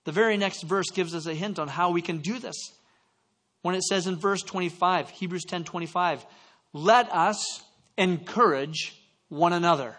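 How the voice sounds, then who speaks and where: A man's voice: high voice, moderate pace, fairly bright tone. A man from Canada preaching a sermon, telling stories with self-deprecating asides and stumbling restarts. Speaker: pastor.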